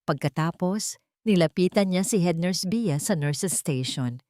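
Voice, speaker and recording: Female narrator, Filipino accent, slightly low register, dry close sound, dramatic scripted storytelling. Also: animated, unhurried, warm tone, no room sound.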